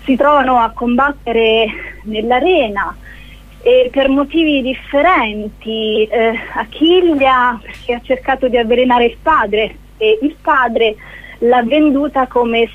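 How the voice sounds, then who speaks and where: A woman giving an informal radio interview as a guest actress, speaking hesitantly with searching pauses. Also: fairly high pitch. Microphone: telephone. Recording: narrow, tinny phone line.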